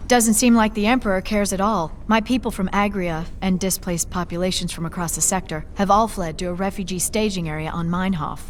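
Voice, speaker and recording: A woman's voice: medium pitch, steady tone, brisk, hardly any pauses, slight background noise, lightly acted. A woman playing an idealistic, earnest scientist in a video game cutscene, speaking articulately. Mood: earnest, concerned.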